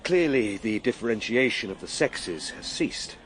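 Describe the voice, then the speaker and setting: An actor playing an earnest, excitable Victorian time traveller in a radio play, speaking in a formal, declamatory way. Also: male voice, mid-range voice, moderate pace, a little hiss or hum, lightly acted. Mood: curious, observant. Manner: declamatory, formal, spoken as a live log. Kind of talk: dramatised radio play monologue.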